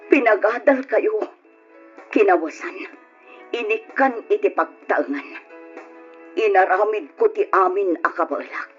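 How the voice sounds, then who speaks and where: A devoted, wounded middle-aged mother speaks with earnest, pleading emotion. It is old radio drama, with a dull sound and music clearly behind her. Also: fairly low pitch. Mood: hurt, reproachful.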